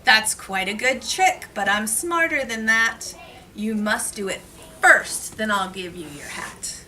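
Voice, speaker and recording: A woman voicing a plucky, determined little gingerbread man from a storybook, excited and emphatic, recorded in a noisy room. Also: a little high, takes it slowly, full character voice. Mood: bargaining. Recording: noisy classroom, distant mic.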